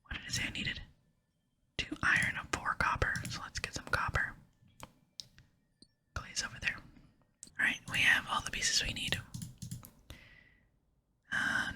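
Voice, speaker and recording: A woman whispering breathily in a casual, tentative ASMR style as she comments on gameplay. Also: pushed and bright. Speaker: gaming ASMR creator.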